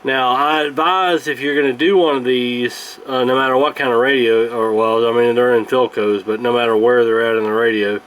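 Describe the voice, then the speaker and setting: A man giving a casual hands-on repair tutorial in a halting, unscripted, explanatory way. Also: mid-range voice, fairly even intonation, a bit bright, rough recording. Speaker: radio repair video host. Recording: clear background noise.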